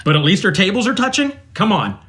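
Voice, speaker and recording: A man's voice: high voice, sing-song, fast talker, a bit bright. A man in his late forties from Central Ohio, co-hosting a podcast in casual, joking, self-mocking chat. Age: 40s. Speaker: podcast co-host.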